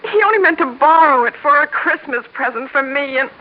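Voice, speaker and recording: Frightened young wife, high-pitched, pleading, hesitant, naive, old radio drama, muffled recording. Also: female voice, animated, brisk. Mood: wistful, distressed. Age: young adult. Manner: hesitant, breathy pleading with repeated phrases.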